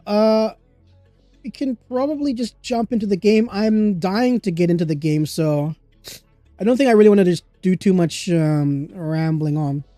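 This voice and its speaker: Stuffy-nosed streamer with a mellow voice. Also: medium pitch, no room sound. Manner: upbeat, chatty, giggly. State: stuffy from a cold. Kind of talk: casual livestream chat. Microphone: desktop streaming microphone.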